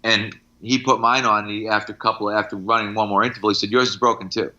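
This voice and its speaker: A man's voice: fairly low pitch, level tone, fast, lo-fi sound, background noise. A middle-aged man, a strength coach, talking casually on a podcast in anecdotes and examples, with firm opinions.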